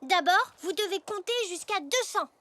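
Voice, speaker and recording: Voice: very high voice, expressive, moderate pace, pushed and bright, dry recording, heavily acted. A performer voicing an eager, inventive little boy zebra in a children's cartoon, with a playful, explaining manner. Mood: excited. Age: child character. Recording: music behind the voice.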